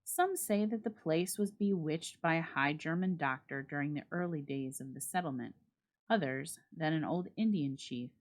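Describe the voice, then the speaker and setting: A woman reads a literary story aloud as a dramatic narrator, with measured, expressive phrasing. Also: low voice. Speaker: library staff reader and host.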